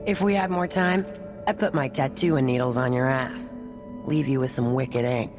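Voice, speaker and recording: An actress playing a brash, flirty, streetwise gangster tattooist in a video game, with a gravelly voice. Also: female voice, very deep voice, steady tone, moderate pace. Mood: cocky, flirty. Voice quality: gravelly, creaky. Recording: game music behind the voice.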